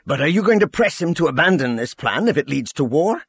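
Man playing stern general, husky, blunt, intense and pressing, animated pitch, audiobook character. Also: normal speed, no room sound, exaggerated voice. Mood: angry. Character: earnest, stern male military general, blunt and morally indignant.

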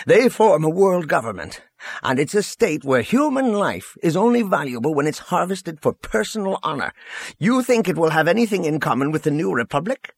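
A man's voice: on the high side, slightly rough, dry recording, voice pushed up, heavily acted. A man voicing a stern, earnest general in an audiobook, blunt and pressing in his indignation. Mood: angry.

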